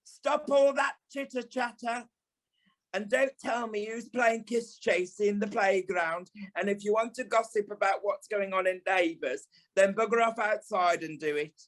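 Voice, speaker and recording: A flamboyant old stage dame with a British accent, acted by a man. The voice is high and unhurried, drawling its endearments. The delivery is theatrical, bossy and bluntly tactless, as in an audio play. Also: a bit bright, poor audio. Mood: bossy, scolding. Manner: theatrical, tactless, drawling, calls people darling and lovey. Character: flamboyant, blunt older former dancer and ballet teacher, a dame.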